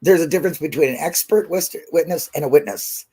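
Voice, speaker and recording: A woman co-hosting a casual livestream, chatty, laughing often and jumping in with quick asides. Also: low voice, dark tone, husky. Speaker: livestream co-host.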